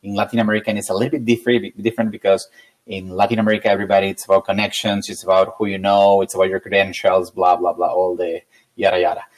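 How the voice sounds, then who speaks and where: Low-voiced Peruvian man being interviewed. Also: level tone, fast talker, poor audio. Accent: Peruvian Spanish. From Peru. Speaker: interview guest, tech company founder. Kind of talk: conversational interview answers.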